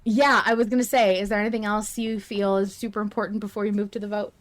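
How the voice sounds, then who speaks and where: Woman podcaster chatting casually as a fan, really emphatic, stops and starts, mid pitch. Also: little pitch movement. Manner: emphatic, passionate, halting delivery. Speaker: podcast host.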